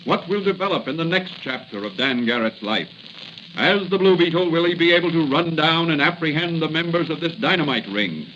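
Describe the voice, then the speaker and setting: A man's voice: fairly high pitch, expressive, fairly quick, fairly bright tone. A man narrating as a formal, dramatic 1940s radio announcer. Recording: hissy, dull 1940 radio recording.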